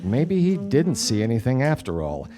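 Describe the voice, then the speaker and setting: Hardboiled 1940s detective, dry wit, lively swings of pitch, live radio play over music. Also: male voice, moderate pace. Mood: wry narration. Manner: deadpan, wry. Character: wry 1940s hardboiled male private detective, dryly exasperated. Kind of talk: 1940s radio detective drama, noir narration. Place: theatre stage before a studio audience.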